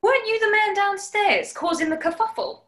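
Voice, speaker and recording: Plucky English maid, played by a woman, high and very animated, polite, chirpy, 1940s radio comedy. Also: normal speed. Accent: British. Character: plucky, cheerful, polite young English housemaid.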